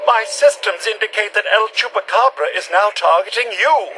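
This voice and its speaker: Bright, high-pitched robot butler. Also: male voice, moderate pace, slight background noise. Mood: alarmed. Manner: deferential, formal, deadpan wit. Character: loyal, prim robot butler, dryly polite. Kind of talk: radio play acting.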